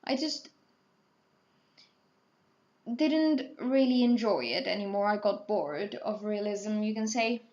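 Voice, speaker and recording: A young woman in her twenties talks slowly and casually, her English clearly a second language. She wanders from point to point, correcting herself and filling pauses with ums. The recording sounds a little dull and lo-fi. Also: mid-range voice, a little mellow. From Portugal, raised in Spain. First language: Spanish or Portuguese. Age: 20s. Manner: rambling, self-correcting, hesitant with ums. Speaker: artist, channel host. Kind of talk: casual talkthrough over painting.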